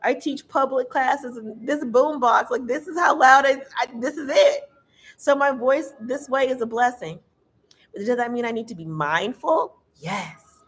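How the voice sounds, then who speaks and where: A woman with a loud, carrying voice giving a casual tarot reading, emphatic and preachy, swearing freely. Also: high voice, unhurried, breathy edge. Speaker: tarot reader.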